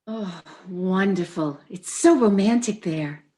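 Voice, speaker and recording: A woman in a comedy reading, playing a brisk, chirpy, reassuring friend who manages a matchmaking. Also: mid-range voice, lively intonation, dark tone. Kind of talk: comedy readers theater.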